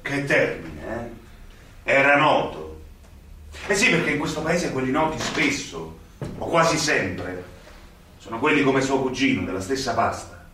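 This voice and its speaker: An actor playing an idealistic young magistrate in a radio drama, questioning insistently and rhetorically, with indignation. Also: male voice, mid-range voice, big pitch swings, measured pace, long pauses, crowd noise. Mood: earnest, resolute. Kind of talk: theatrical radio drama acting. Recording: very echoey room, distant from microphone.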